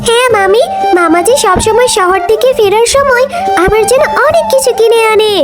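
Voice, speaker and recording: A woman voicing a gentle, put-upon orphan girl in a cartoon, speaking meekly and eager to please. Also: very high-pitched, moderate pace. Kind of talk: cartoon dialogue. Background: music.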